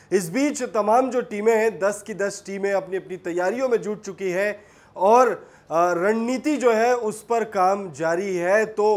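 A young man presenting a sports news bulletin to camera in a studio, emphatic and repetitive, standing with his hands pressed together. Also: sits high. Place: studio in front of a screen.

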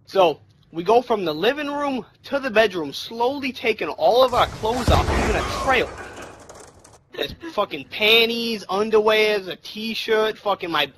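A young man casually telling a crude, profane anecdote over game chat, drawing it out with emphatic pauses. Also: sits high, lively intonation. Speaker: storyteller among gamers. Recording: noisy game voice chat with clicks. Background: video game sounds and announcer.

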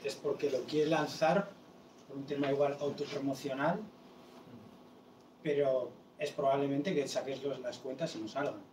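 A man's voice: mid-range voice, steady tone, moderate pace. A man on a panel reasoning his point reflectively and checking that he is understood. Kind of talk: panel discussion. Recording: distant, echoey, noisy room.

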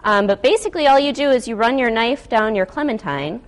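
A woman's voice: a little high, animated, a little fast. An American woman chatting casually and enthusiastically as a guest food stylist, walking through a cooking demonstration in informal speech. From Wisconsin, United States.

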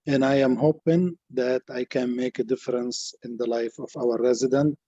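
A man delivers a formal candidate statement in deliberate, halting phrases. His voice is husky and mellow with a flat, even melody, recorded dry but slightly dull. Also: medium pitch, no echo. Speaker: city council candidate.

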